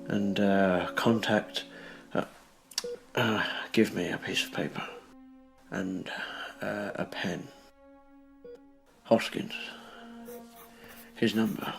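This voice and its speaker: Man playing a slow, halting old businessman. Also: fairly low pitch, fairly even intonation, vocal fry, faint music behind, poor audio. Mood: urgent pleading. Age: older. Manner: halting, pleading. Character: frail old businessman, earnest and stubborn, in a hospital bed. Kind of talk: radio play acting.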